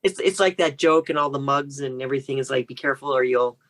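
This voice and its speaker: American lady author guest, low pitched voice, explaining stuff in a casual chatty way. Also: fast. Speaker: guest novelist. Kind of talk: casual interview conversation.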